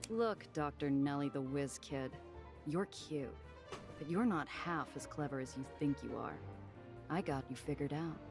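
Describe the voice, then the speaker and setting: Streetwise woman smuggler: low, wry, guarded noir game narration over clear background music. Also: a little slow. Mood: suspicious, confident. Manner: dry, world-weary, hardboiled. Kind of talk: noir first-person narration and game dialogue. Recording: music behind the voice.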